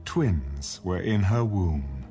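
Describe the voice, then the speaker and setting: A man's voice: sits low, animated, takes it slowly. A man narrating a dramatized audio Bible in a storytelling style, with music underneath.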